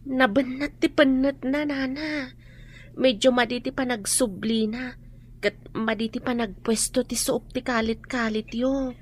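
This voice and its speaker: A woman playing a patient, kindly village massage healer with a Filipino Ilocano accent in a radio drama, soothing and deliberate. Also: fairly high pitch, fairly even intonation, slight background noise, natural voice. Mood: soothing, businesslike. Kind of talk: radio drama comedy acting.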